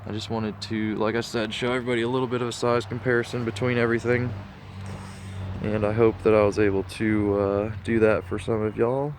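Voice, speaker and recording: Dude, nose all stuffed up, kinda low flat voice, rambly, lotta ums. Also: male voice, brisk, slight background noise. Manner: laid-back, rambling with many fillers. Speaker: diecast model collector vlogger. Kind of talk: casual walkthrough talk.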